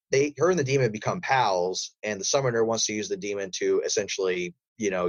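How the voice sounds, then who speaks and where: A man's voice: fairly low pitch, dry recording, rough recording. A man who works as a voice actor, talking casually as a guest in an interview.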